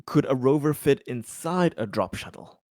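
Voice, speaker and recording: A man's voice: mid-range voice, expressive, normal speed, darkish tone, no room sound, clean studio sound. A man playing a tabletop game, casually discussing options and searching for his words as he goes.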